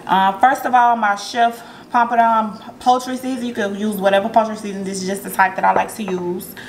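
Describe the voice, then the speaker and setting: A woman hosting a relaxed cooking tutorial in her home kitchen, chatting to her viewers with plenty of ums as she works. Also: medium pitch, brisk, a little hiss or hum, poor audio. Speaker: cooking vlogger.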